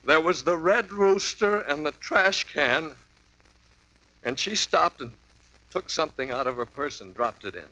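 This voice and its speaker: A rattled man who wavers between dazed mumbling and near-hysterical insistence. His voice is bright and sharp-edged. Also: on the high side, lively intonation, unhurried, dry recording, low-quality recording. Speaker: client telling a story. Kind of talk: 1950s radio drama acting.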